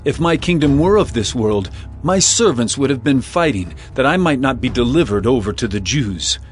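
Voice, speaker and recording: A mid-pitched man an actor plays a steadfast holy teacher in a biblical audio drama. His measured, solemn words move with lively intonation over soft music. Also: close to an everyday voice. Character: Jesus, calm, steadfast accused holy teacher. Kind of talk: dramatized scripture acting.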